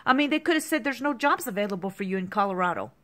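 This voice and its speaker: A woman's voice: mid-range voice, animated, racing, fairly bright tone. A woman in her fifties in an agitated, repetitive rant to the camera, heavy with profanity. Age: 50s. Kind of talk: angry monologue to camera.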